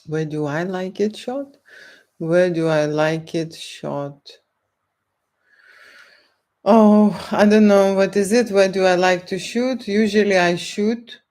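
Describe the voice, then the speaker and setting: A woman speaks English with a Lithuanian accent, taking her time with each thought. She is a photographer fielding questions from an interviewer. She often pauses to check in with a quick "okay?". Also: medium pitch, a little mellow. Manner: deliberate, keeps checking in with "okay?". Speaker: erotic photographer. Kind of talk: street interview answers.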